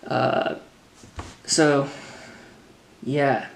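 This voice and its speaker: An American man in his thirties talking casually and unscripted, thinking through his answers as a drummer with frequent halting pauses, recorded indoors at a table. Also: medium pitch, flat delivery, gravelly, slight background noise. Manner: halting, thoughtful, pausing to formulate answers. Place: indoors, open kitchen-dining room at a table. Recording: echoey room.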